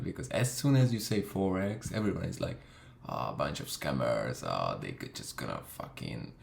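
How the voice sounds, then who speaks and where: A man's voice: low voice, vocal fry, darkish tone, slight background noise. A young man from Hungary tells his story in a casual, profane way, with lots of fillers. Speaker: vlogger. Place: room in London. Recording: echoey room.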